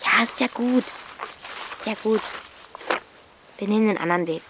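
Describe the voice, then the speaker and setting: A woman's voice: medium pitch, moderate pace, a bit bright, a little hiss or hum, lightly acted. A woman playing a bold, defiant thirteen-year-old village girl, blunt and impatient, in a radio drama. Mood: coaxing, impatient.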